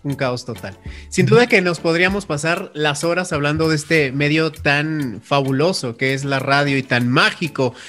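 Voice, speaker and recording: A Mexican male radio host closes the segment in a smooth, formal way, with a somewhat high voice. Soft music sits under him. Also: moderate pace. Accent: Mexican Spanish. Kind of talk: radio show hosting.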